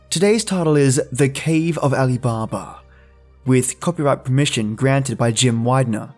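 A man's voice: medium pitch, a little mellow. A man hosting a podcast, introducing an episode in a chatty, informal way. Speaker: podcast host.

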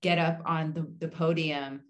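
A woman's voice: low-pitched, little pitch movement, measured pace. A woman of about thirty who stutters, moderating a casual panel talk with occasional part-word repeats. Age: around 30.

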